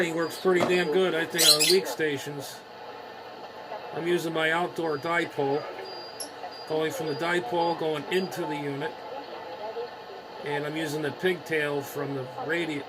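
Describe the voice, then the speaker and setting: A man's voice: fairly high pitch, steady tone, moderate pace, poor audio. A man giving a casual, off-the-cuff, rambling demo of radio gear in an informal way, recorded on a handheld camera. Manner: rambling, informal, mild swearing. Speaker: amateur radio hobbyist demonstrating gear. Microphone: handheld camera microphone. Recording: loud radio static and hiss, handheld camera.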